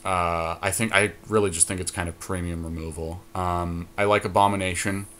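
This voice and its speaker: A man's voice: deep voice, steady tone, normal speed, a bit bright. A man co-hosting a podcast, giving casual, analytical, opinionated commentary on a card game draft. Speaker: podcast co-host.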